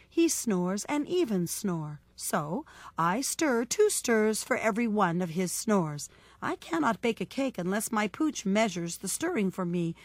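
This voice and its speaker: A woman's voice: mid-range voice, no room sound. A woman voicing a clever, polite storybook baker woman who pleads sweetly and artfully. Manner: imploring, courteous, artful. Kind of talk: storybook character voice.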